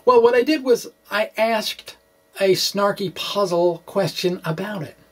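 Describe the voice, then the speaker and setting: A middle-aged man presenting a blog aloud with rambling asides, speaking in a halting, hesitant way and often correcting himself. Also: high-pitched. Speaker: physics blogger and vlogger. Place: small indoor room with bookshelves.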